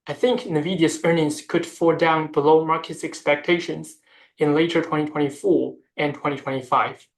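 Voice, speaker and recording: An investment video host delivering scripted market commentary in a measured, formal, explanatory way. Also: medium pitch, rough recording. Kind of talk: scripted finance narration.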